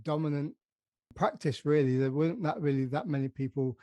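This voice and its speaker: A man's voice: on the high side, fairly quick, mellow, dry recording. A man hosting a podcast, asking long, rambling questions with many restarts. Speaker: podcast host. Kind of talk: podcast interview.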